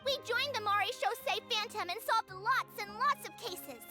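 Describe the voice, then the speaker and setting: Tiny, very high-pitched earnest creature girl. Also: normal speed. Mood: hurt, pleading. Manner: polite, sincere, hesitant. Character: small earnest, childlike Melusine girl, a gentle non-human creature. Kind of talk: game character dialogue. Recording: music behind the voice.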